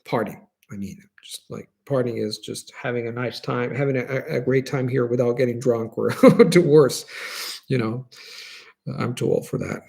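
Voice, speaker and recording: Italian man with a gravelly voice. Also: medium pitch, normal speed, mellow. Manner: explanatory, earnest, restarts phrases while thinking. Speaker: host answering viewer questions. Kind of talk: live-stream Q&A, unscripted.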